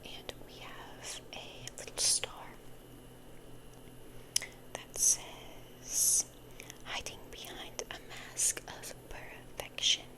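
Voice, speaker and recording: A young woman whispering in a soft, breathy voice, rambling and repeating phrases as in an ASMR unboxing. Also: rough recording. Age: young adult. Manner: soft, repeats phrases slowly, chatty over-explaining. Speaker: ASMR content creator.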